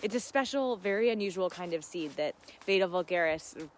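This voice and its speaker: A woman's voice: fairly low pitch, lively intonation, a little fast, a little hiss or hum. A woman hosting a casual live gardening Q&A, talking in an effusive, affirming, giggly and conversational way. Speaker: gardening host answering live viewer questions.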